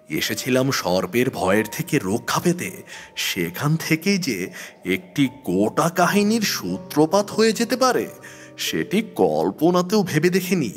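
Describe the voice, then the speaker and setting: Slow male narrator with hugely swinging pitch. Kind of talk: dramatic scripted horror story narration.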